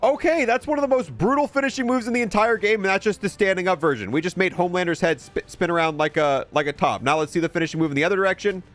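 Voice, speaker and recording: Fast-talking, higher-voiced male gamer. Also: a bit bright. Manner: chatty, opinionated. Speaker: gaming video host. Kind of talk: casual gaming review commentary. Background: music.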